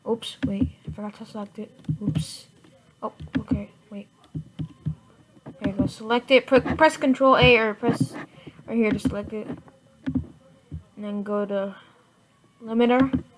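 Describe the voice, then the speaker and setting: A woman giving a casual software tutorial in informal, halting step-by-step instructions. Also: medium pitch, steady tone. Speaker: tutorial presenter. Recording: noisy home computer mic, clicks.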